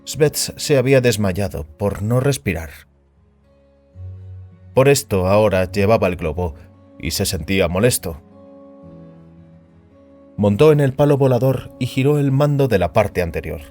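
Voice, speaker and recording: Male narrator for scripted audio fiction, lower register, light music bed behind the read. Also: lively intonation, unhurried, long pauses, darkish tone.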